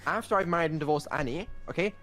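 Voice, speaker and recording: A man's voice: fairly high pitch, racing, a bit bright, soft background music. A man chatting casually and bantering as a livestreamer.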